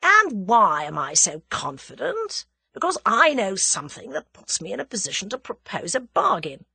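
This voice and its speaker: Cocky young Englishman acted by a woman, scornful, self-assured, animated pitch, close dry sound, audiobook character. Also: normal speed, creaky, husky, no room sound, full character voice. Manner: scornful, taunting, confident. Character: cool, cocky young Englishman bluffing his captors.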